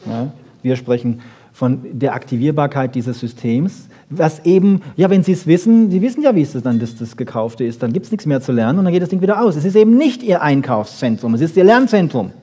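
A man lectures on science to an audience in a fairly high, husky voice with a soft, mellow tone. He is wry and conversational, often asking rhetorical questions and checking in with short tags. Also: sing-song, a little fast. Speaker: lecturer on brain research.

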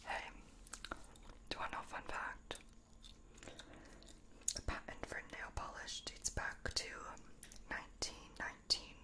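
A young woman whispers in an ASMR personal-attention roleplay. Her voice is breathy and almost entirely unvoiced, right up close to the mic. She rambles nonchalantly in halting little fragments, playing a makeup artist. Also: pushed and bright. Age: 20s. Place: small room at home, indoors. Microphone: close mic, facing camera.

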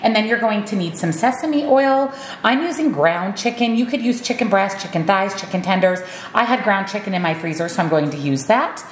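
A woman's voice: medium pitch, expressive, brisk, roomy sound, slight background noise. A woman giving upbeat step-by-step meal prep instructions in her home kitchen. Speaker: cooking vlogger.

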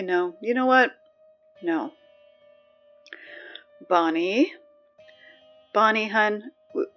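Woman acting a wry high school announcer, music behind. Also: lo-fi sound. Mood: exasperated pleading. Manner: conversational, wry, hesitant asides. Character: chatty, exasperated high school morning announcer. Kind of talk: scripted audio drama, PA-style announcements.

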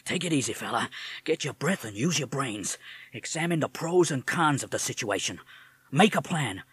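A brash, wisecracking male parrot with tough street slang, voiced by a male narrator. His pitch swings lively up and down as he talks himself through a plan. The sound is dry and close. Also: normal speed, slightly rough, no echo. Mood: scheming.

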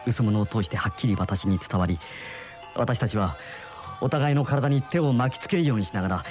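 An actor playing an uneasy middle-aged husband in a radio mystery, with brooding, dramatic storytelling. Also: male voice, fairly low pitch, a little fast, close to an everyday voice. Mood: brooding, dreamy. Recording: muffled old radio drama recording, music behind.

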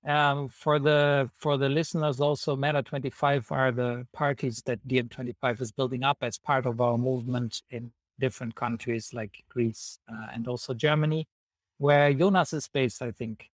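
Young guy hosting a video call panel, kinda hesitant, dry close sound, mid pitch. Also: fairly even intonation, normal speed, dry recording. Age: young adult. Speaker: host, volunteer coordinator. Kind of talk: hosting an online panel interview. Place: indoor room, video call. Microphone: wired earphones on video call.

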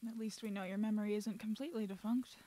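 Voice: medium pitch, steady tone, fast talker, dark tone, natural voice. An actor playing a biting, sarcastic astronaut who nurses a grudge in an audio drama. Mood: grudging. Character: Hugh, bitter sarcastic astronaut nursing a grudge.

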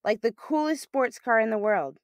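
A woman's voice: fairly high pitch, expressive, moderate pace, no echo, highs cut off, voice pushed up, close to an everyday voice. A woman voicing a shy, earnest third-grade boy with big dreams in a storybook. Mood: hopeful.

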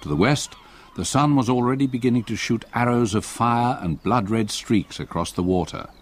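An actor playing an older English solicitor, gravely narrating a haunting memory in a radio play. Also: male voice, medium pitch, lightly acted. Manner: grave, measured storytelling. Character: haunted old English solicitor recalling past terror.

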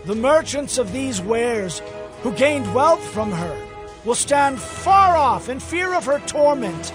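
A man narrates an audio Bible drama with solemn intensity. His voice is very high for a man, and music plays clearly behind it. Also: very animated, slow pace.

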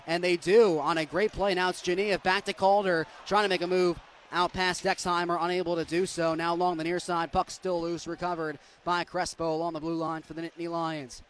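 A young man calling hockey play-by-play, giving a rapid, steady account that climbs into loud, excited goal calls. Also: sits high, slight background noise. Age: young adult. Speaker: play-by-play announcer.

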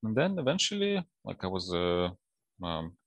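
Russian guy in an interview, low creaky voice, kinda halting, dry close headset sound. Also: big pitch swings, no echo, dull audio. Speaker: guest, data science professional. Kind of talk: casual interview talk. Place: office, indoors, on a video call.

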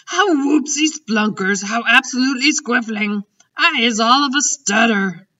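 Lady doing a gentle old giant from a storybook, proud but muddled, sharp bright sound, jumbled words, sounds far from the mic. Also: mid-range voice, lively intonation, unhurried, muffled recording, full character voice. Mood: delighted. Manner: mangled grammar and invented words.

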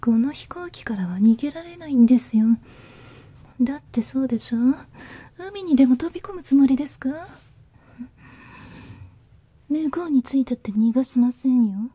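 A predatory chief flight attendant, acted by a woman for an ASMR audio drama. The voice is mellow and dropped lower, often close to a whisper. Every courteous phrase carries sly, sadistic menace. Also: animated, husky. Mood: sly, menacing. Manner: hushed, slow menace under courteous speech. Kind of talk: Japanese ASMR situation voice drama.

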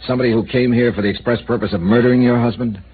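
An actor playing a probing male insurance investigator in a 1950s radio drama, questioning with dry, needling calm. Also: mid-range voice, rapid-fire, close to an everyday voice. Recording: tinny old radio broadcast with faint hiss.

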